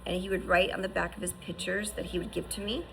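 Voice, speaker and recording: A woman's voice: on the low side, level tone, quick pace, creaky, a bit bright, slight background noise, low-quality recording. A woman in her fifties giving an earnest, encouraging personal testimony, often restarting and asking "right?". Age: 50s. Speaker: survivor sharing her story.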